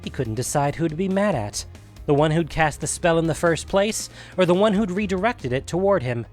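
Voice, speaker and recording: A man's voice: fairly high pitch, lively intonation, normal speed, soft background music. A man reading a scripted fantasy story as a dramatic narrator.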